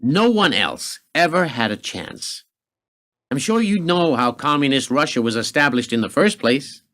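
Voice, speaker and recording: A smug, menacing senior American government official acted by a man in a radio drama. He is sardonic and condescending, with a medium-pitched voice that swings theatrically up and down. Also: expressive, moderate pace, a bit bright, natural voice.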